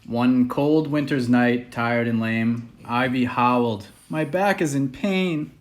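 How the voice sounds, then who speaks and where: A man with a medium-pitched voice reading a children's picture book aloud. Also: slow. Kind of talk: read-aloud children's story.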